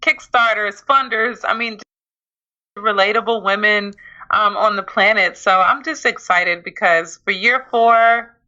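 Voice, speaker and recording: A woman's voice: medium pitch, measured pace, sharp tone, muffled recording. A woman co-hosting a podcast in casual chat, playful and teasing with an upbeat, promoting energy. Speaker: podcast co-host.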